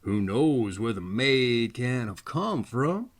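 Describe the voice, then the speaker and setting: A man's voice: mid-range voice, sing-song, slow. A man voicing a wicked old queen mother in a fairy tale, disdainful and indignant. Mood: scornful.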